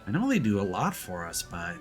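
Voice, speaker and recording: A man's voice: deep voice, fairly quick, faint music behind. A man giving playful, casual gameplay commentary as a streamer, sometimes reading game text aloud. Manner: playful, expressive.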